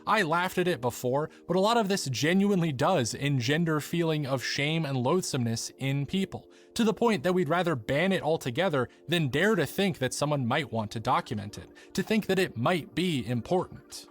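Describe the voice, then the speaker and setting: A man's voice: fairly high pitch, expressive, moderate pace, few pauses, soft background music. A man narrating a scripted documentary-style video essay in an earnest, articulate manner.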